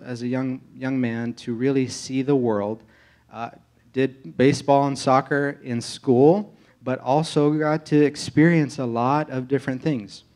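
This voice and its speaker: An American man speaking as a pastor candidate in an informal testimony to a congregation, earnest and halting in his delivery. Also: medium pitch, a little mellow. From United States, grew up in Tennessee. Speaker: pastor candidate being interviewed in church.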